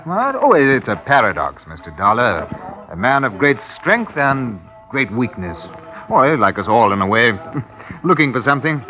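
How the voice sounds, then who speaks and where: A man's voice: mid-range voice, big pitch swings, normal speed, lightly acted. An actor playing a jovial, evasive British company man posted in the tropics, chatty and full of hemming, in a radio drama. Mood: musing. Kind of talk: 1950s radio drama acting. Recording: muffled old radio broadcast, music.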